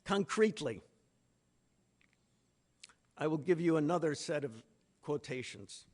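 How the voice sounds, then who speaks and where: A man giving a literary lecture in a deliberate, emphatic manner with pauses between phrases. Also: a little high, animated, darkish tone. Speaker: conference speaker, literary lecturer. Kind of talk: literary lecture with read-out quotations.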